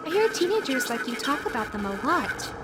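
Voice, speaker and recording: High-pitched playful robot fox girl, acted by a woman, noisy. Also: brisk. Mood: chatty, coy. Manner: chatty, coy, teasing, giggly rambling. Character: playful, lonely female animatronic robot fox, eager to play. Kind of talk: roleplay audio drama addressed to the listener. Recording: loud steady background noise.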